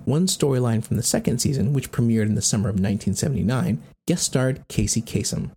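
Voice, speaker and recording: A man's voice: mid-range voice, quick pace, mellow, slight background noise. An American man hosting a podcast, reading scripted narration. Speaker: podcast host.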